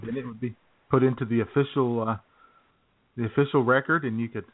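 A man's voice: medium pitch, steady tone, fairly quick. Man joking on tinny, phone-like radio audio. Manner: teasing, joking. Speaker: comedy radio show co-host. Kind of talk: casual comedic banter.

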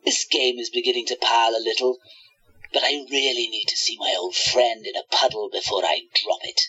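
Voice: mid-range voice, a little fast, sharp tone, slightly rough, lo-fi sound. An actor playing a sadistic alien tyrant in a radio play, taunting in a theatrical, mock-sweet, menacing way. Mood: gloating menace.

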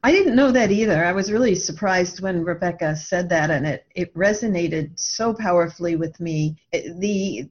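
A woman's voice: fairly low pitch, lo-fi sound. An older woman telling personal stories reflectively as a guest in an interview. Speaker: podcast guest, writer and radio drama producer.

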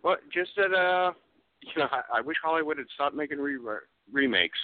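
A man's voice: fairly high pitch, normal speed, no room sound. A man chatting conversationally as an interview guest, telling things in an anecdotal way. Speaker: guest, radio show presenter. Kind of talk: casual interview chat. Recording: dull lo-fi call audio.

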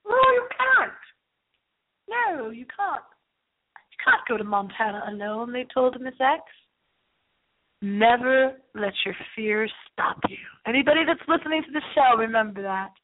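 High-voiced theatrical woman on a phone-like line. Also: expressive, unhurried, a little hiss or hum. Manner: theatrical, repeats phrases, drifts into asides. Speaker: radio show host. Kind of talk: dramatic, rambling late-night horror movie show monologue. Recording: thin, phone-like lo-fi sound.

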